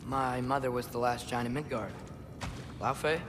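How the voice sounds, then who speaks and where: An actor playing an eager, earnest young hero who tries to persuade a weary god. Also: male voice, medium pitch, steady tone, moderate pace, background noise, natural voice. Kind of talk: video game cutscene acting. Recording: game audio with music underneath.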